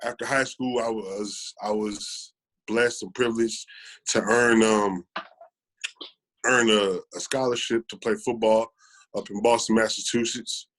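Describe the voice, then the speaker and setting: A man's voice: on the low side, steady tone, measured pace, fairly bright tone, poor audio. An American man in his thirties talking casually and earnestly as a podcast guest, often repeating phrases for emphasis. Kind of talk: casual conversational storytelling.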